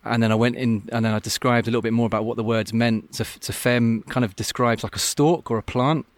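A man's voice: mid-range voice, fairly even intonation, quick pace, dry recording. A man who works as an open-water swim coach, talking earnestly and thoughtfully in a casual podcast interview, often restarting his sentences. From Scotland.

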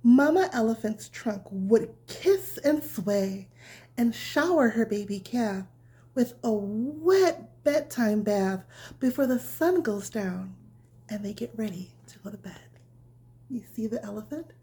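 A woman with a slow, mellow delivery reads a bedtime story as if to a small child. Her voice sits a little high and is breathy, often slipping toward a whisper. She keeps her phrasing simple and nurturing. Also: animated. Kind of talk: reading a picture book aloud as a bedtime story, with asides to the listener.

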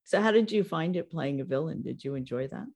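A woman's voice: deep voice, animated, a little fast, gravelly, very noisy. A woman hosting a podcast, interviewing a guest in a chatty way and laughing often. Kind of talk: casual interview.

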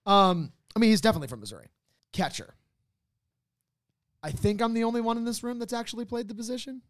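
A man's voice: extremely high pitch, fast, no echo, studio quality. A man co-hosting a podcast with casual comedic banter in a wry, mock-serious manner. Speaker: podcast co-host.

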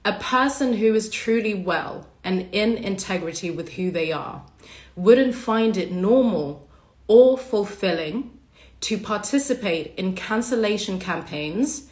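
A woman hosting a casual livestream monologue, hoarse and raspy from a cold, talking passionately and bluntly with casual swearing. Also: medium pitch, roomy sound. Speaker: podcast host.

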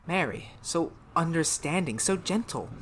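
A woman's voice: low voice, expressive, measured pace. A woman voicing a haunted man going mad. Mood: brooding. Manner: hushed and brooding in narration, frantic in outbursts. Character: haunted man descending into murderous madness. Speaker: narrator and lead figure. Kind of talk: radio drama narration and acting. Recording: noisy old recording.

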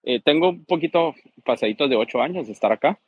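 A man's voice: a little high, lively intonation, fast talker, breathy edge, dry recording, phone-quality sound. A man, a podcast guest speaking hesitantly and often tagging his sentences with a question. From Guatemala. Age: 30s. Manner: hesitant, keeps adding "¿verdad?". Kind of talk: casual interview.

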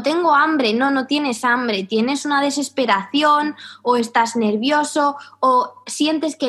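A woman host, chatting in a lively, animated way and laughing easily. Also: a little high. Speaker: interviewer, host. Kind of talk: casual chat.

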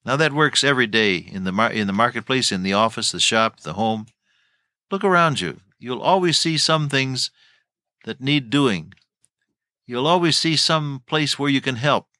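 A man's voice: mid-range voice, lively intonation, moderate pace, bright, forceful tone, no room sound, clean studio sound. An older man teaching the Bible on radio, telling folksy stories and chuckling as he goes.

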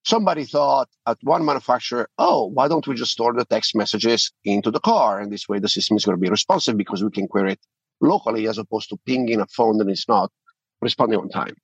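Man, Italian accent, middle-aged, husky low voice, dull dry recording. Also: fast talker, no room sound. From Italy. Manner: animated, repeats words when restarting. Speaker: podcast guest, company founder. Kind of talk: interview conversation.

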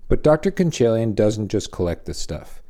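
A man's voice: fairly low pitch, big pitch swings, normal speed, noisy background. A man narrating a scripted story feature as host, with wry, dry asides.

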